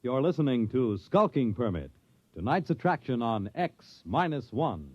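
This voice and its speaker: 1950s male announcer, measured delivery, lively intonation, dull dry radio sound. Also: mid-range voice. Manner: smooth, measured, formal. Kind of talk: scripted announcing.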